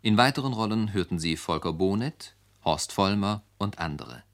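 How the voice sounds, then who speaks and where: A man working as a radio announcer, formally reading credits in a measured manner. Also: lowish voice, expressive.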